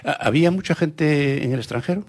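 A man with a husky, slightly breathy voice leads a relaxed radio interview. He asks friendly questions and keeps his guest going with short encouraging replies. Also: on the high side, a little fast. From Galicia.

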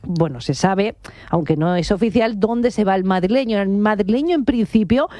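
A woman talks through sports news as a radio commentator. She explains things in detail without a script, in a medium-pitched voice. The sound is close and dry. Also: expressive, normal speed, warm tone, no room sound. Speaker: motorsport commentator on a radio show.

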